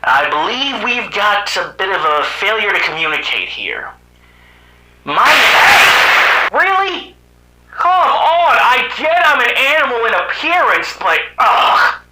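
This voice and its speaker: A man voicing a sardonic cyborg animal hero from another planet in an amateur animated drama, with muttered asides and exclamations. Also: high voice, takes it slowly, exaggerated voice. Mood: insistent, earnest. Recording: roomy, echoing voice track.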